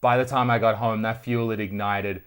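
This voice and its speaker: A man's voice: lowish voice, steady tone, normal speed, clean tone. An Australian man chatting casually to viewers as a video host, telling stories in a conversational, sweary way. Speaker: retro tech video host. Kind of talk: casual storytelling to camera.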